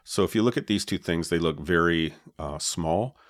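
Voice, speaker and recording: A man with a low voice, co-hosting a podcast and explaining his gear in a relaxed way, with many fillers, on a dynamic mic with a pop filter. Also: normal speed, no room sound, clean studio sound. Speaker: podcast co-host. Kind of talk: casual chat about podcast gear. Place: home podcast setup. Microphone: dynamic podcast mic with pop filter and foam cover.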